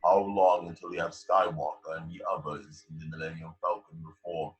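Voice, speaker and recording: Menacing male dark lord, played by a man: very low, heavily rasped, imperious sci-fi villain. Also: little pitch movement, moderate pace. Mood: cold, commanding. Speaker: amateur actor in a table read. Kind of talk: dramatic script read-through.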